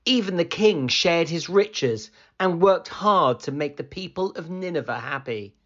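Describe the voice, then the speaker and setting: Storybook reader, male, slow, animated read-aloud delivery, muffled lo-fi recording. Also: on the high side. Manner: slow, expressive storytelling, rising for excitement. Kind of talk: children's picture book read-aloud.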